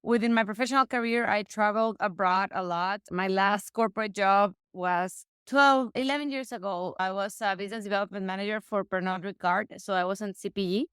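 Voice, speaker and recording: A woman talks through her career as a podcast guest, often breaking off and restarting her sentences. Her voice is very clean and smooth. It sounds dry and close, with no room sound at all. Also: medium pitch, fairly even intonation, brisk, hardly any pauses, a bit bright, no echo. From Mexico. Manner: chatty, frequent restarts and self-corrections. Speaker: guest, real estate investor. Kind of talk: casual interview conversation.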